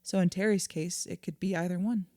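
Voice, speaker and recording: A woman acting in an audio drama as a calm, patient therapist, speaking in a measured, reassuring, conversational way. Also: fairly low pitch, no room sound, clean studio sound, natural voice. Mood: thoughtful.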